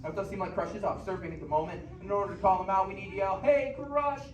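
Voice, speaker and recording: A man hosting a live theme park show, giving a scripted, emphatic warm-up to an audience of children. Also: high-pitched, highs cut off. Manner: emphatic, drawn-out announcing to children. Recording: echoey noisy theater with crowd, distant.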